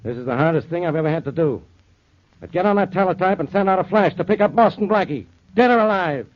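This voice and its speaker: A troubled, terse police inspector in an old radio drama. His voice sits a little high and carries authority. The recording is dull, with faint noise. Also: lively intonation, quick pace. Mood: grave, troubled. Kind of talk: 1940s radio drama acting.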